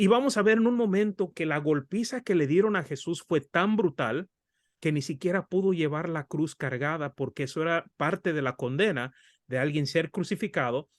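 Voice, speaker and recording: Mexican man preaching, earnest and conversational, fairly high voice, dry close mic. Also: moderate pace, no room sound. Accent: Mexican Spanish. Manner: earnest, conversational, addresses listeners as brothers. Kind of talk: Bible study sermon.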